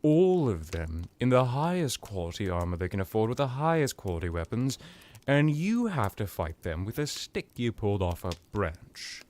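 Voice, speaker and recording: A man's voice: on the low side, darkish tone. A man playing a haughty, centuries-old vampire in a roleplay monologue, pompous and dramatic, talking to the listener in a rambling, wry way. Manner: pompous, dramatic, rambling with pauses and whispered asides.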